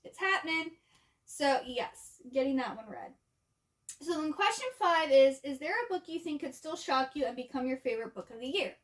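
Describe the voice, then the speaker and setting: Female book vlogger, high-pitched, casual conversational delivery, informal and chatty. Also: animated, moderate pace. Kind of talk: casual chat to camera.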